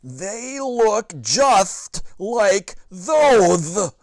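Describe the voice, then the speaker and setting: Storybook grandpa, elderly and distraught, toothless mumble, high and swooping. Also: male voice, big pitch swings, no echo, exaggerated voice. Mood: angry. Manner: loud, slow, wailing, mumbling as if toothless. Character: fussy old grandpa who lost his teeth. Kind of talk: children's storybook read-aloud.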